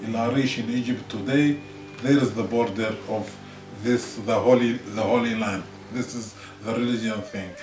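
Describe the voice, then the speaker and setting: A man's voice: mid-range voice, fairly even intonation, moderate pace, darkish tone, soft background music. A man answering interview questions hesitantly, searching for his words in English. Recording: distant mic in an echoey room.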